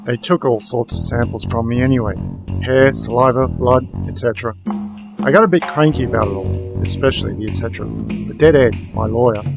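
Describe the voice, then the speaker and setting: A male actor as a weary, wry private eye with a gravelly, mid-pitched voice. He tells his story deadpan, noir radio play style, over clear guitar music. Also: a little fast, natural voice. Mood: wry, resigned. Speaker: narrator and protagonist. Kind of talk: first-person noir radio play narration.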